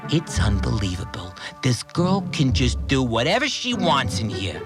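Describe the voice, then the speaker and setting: A man playing a blunt, irritable, obsessively tidy cleaner in a first-person audio drama, plain-spoken and quick to swear. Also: mid-range voice, wildly swooping pitch, loud music behind the voice. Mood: exasperated, indignant. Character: obsessively orderly, irritable male cleaner, simple-minded rule follower. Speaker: voice actor in a fiction podcast.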